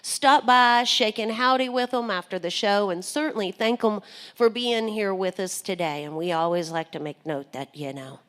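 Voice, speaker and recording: A woman's voice: medium pitch, moderate pace, fairly bright tone. A woman hosting a live radio show, joking and folksy with plenty of fillers.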